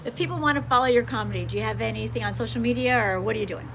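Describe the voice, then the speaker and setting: Woman host, high bright voice, chatty, keeps saying mm-hmm. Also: racing, a little hiss or hum. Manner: chatty, encouraging, frequent mm-hmms. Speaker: host, interviewer. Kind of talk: interview questions.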